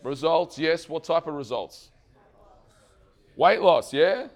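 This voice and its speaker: A man losing his voice gives a lively fitness seminar to trainers and keeps asking the room questions. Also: a little high, slow. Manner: energetic, asks the audience questions and answers them himself; swears casually. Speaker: fitness coach presenting to trainers. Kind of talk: live seminar presentation.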